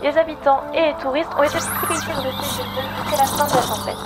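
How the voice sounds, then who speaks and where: A woman's voice: sits high, fairly even intonation, fast, loud music behind the voice, lightly acted. A woman playing a formal news reporter reading a scripted weather bulletin in a French comedy audio drama. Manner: formal, informative.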